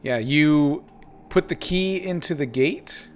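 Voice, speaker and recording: A man narrating a tabletop game as game master, improvising in halting phrases with pauses mid-sentence. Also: a little high.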